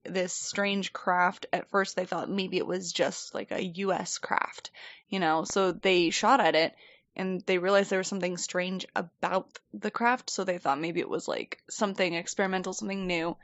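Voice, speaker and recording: A woman's voice: on the low side, fairly even intonation, moderate pace, a bit bright, no echo, dull audio. A woman co-hosting a podcast, telling a story in a chatty, rambling way and laughing often. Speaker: podcast co-host. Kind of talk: casual storytelling chat. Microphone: desk microphone.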